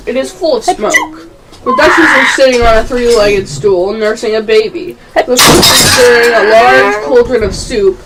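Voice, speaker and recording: A female amateur narrator reading scene descriptions for a radio play. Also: a little high. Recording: loud background noise.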